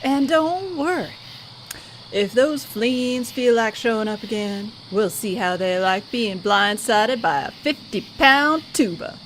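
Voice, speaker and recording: A woman's voice: fairly high pitch, animated, takes it slowly, a bit bright, slight background noise, rough recording. A woman playing a motherly, no-nonsense band director in an audio drama, folksy and reassuring. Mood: wry, confident. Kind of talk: audio drama character acting.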